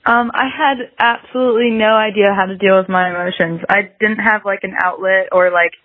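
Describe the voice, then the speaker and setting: A dry, slightly dull recording of an American woman in her early twenties. She chats casually as a podcast guest at an average pitch, hedging often and peppering her sentences with "like.". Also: steady tone, brisk, no echo. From South Carolina, United States. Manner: hedging, very frequent "like" filler. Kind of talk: casual interview chat.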